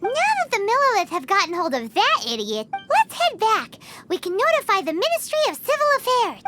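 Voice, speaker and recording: A tiny childlike fairy sidekick from a video game. The voice is extremely high and squeaky, with swooping, dramatic, indignant delivery over loud music. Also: female voice, huge pitch swings, moderate pace, heavily acted. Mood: chatty. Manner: speaks of self in third person, dramatic. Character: small childlike floating fairy companion, perky and indignant.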